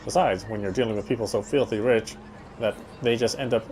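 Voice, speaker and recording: A man's voice: fairly low pitch, brisk, a little mellow, a little hiss or hum. A man reading visual novel narration aloud as he plays. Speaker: let's player reading a game aloud.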